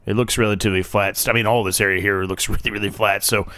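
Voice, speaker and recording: A man's voice: fairly low pitch, steady tone, fast talker, sharp tone, no room sound. A man losing his voice, giving casual, rambling commentary on a farming game with lots of fillers and restarts. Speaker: game streamer.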